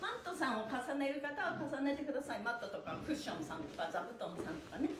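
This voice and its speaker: A woman's voice: fairly high pitch, very animated, fast, slight background noise. A middle-aged woman leading a cheerful exercise livestream as an instructor, upbeat and exclamatory with sing-song encouragement. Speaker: stretching instructor and mime performer. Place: small bare indoor studio room, on a mat. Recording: echoey room.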